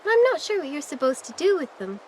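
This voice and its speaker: A woman's voice: sits high, big pitch swings, fast, darkish tone, slight background noise. An actress playing a dreamy, candid, eccentric teenage girl in a video game, airy and guileless.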